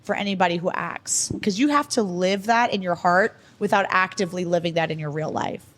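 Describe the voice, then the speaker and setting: A young American woman talking quickly and eagerly as a podcast guest, with lots of casual slang. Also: lowish voice. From United States. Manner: fast, animated, slangy talk. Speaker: guest, fighter and commentator. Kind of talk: casual interview chat.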